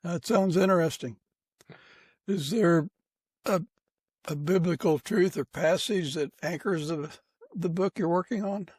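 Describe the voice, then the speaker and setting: Male interviewer, slightly high voice, unhurried slow pace, conversational podcast questions. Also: a little mellow, husky, dry recording.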